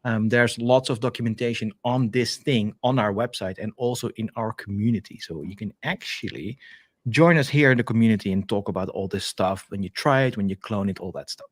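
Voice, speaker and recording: A man with a Dutch accent casually walking through a software demo on a live stream, chatty and full of fillers and restarts, heard over a video call. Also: lowish voice, brisk, dry recording. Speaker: developer advocate co-hosting a stream. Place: home office, video call.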